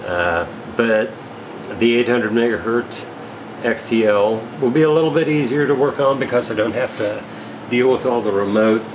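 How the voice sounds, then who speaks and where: A man giving a casual, unscripted product update as an equipment dealer, in a rambling, halting, matter-of-fact way. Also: medium pitch, noisy background.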